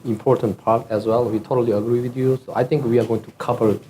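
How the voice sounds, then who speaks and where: A man with a Korean accent, presenting at a teaching workshop and answering questions with quick, agreeable replies. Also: medium pitch, little pitch movement, background noise. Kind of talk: casual discussion.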